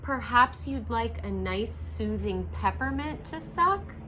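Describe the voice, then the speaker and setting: A woman voicing a kind, helpful little girl in a storybook, gentle and sweet. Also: on the high side, animated, takes it slowly, slight background noise, heavily acted.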